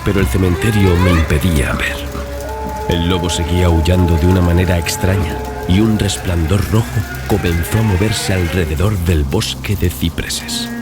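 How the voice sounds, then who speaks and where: A man narrating a dramatized horror audiobook in a scripted, dramatic storytelling style. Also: low-pitched. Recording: clear background ambience and noise. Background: sound-design ambience.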